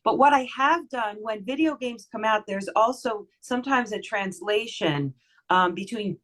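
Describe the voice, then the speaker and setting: A woman voice actress with a lowish voice chats on a podcast. She sounds warm and smiling, and she talks like she is speaking to a friend. She runs through her work in an easy, conversational flow. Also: lively intonation, normal speed. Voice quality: lower voice for a woman. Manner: chatty, friendly, giggly, smiling tone. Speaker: voice actress guest. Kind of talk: casual podcast interview.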